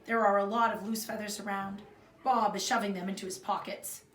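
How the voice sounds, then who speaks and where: A woman's voice: medium pitch, fairly quick. Roomy, distant-sounding woman reading a storybook. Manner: expressive, acts out the dialogue. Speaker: fourth grade teacher reading aloud. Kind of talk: children's storybook read-aloud narration. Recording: roomy echo, distant mic, faint noise.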